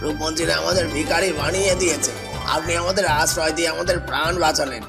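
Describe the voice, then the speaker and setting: A man's voice: a little high, fairly even intonation, a little fast, vocal fry, music in the background. A man voicing a poor, oppressed peasant in a fairy-tale cartoon, humble and pleading. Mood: grateful.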